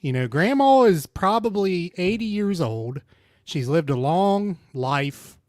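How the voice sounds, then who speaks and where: A man's voice: sits high, very animated, slow, darkish tone, dry recording, studio quality. A man co-hosting a podcast, giving an emphatic, opinionated take in casual chat. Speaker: podcast co-host.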